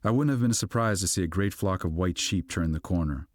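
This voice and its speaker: A man's voice: low-pitched, a little fast, darkish tone, no room sound, clean studio sound. A man reading literary fiction aloud as an audiobook narrator, in a scripted storytelling style. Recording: music behind the voice. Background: background music.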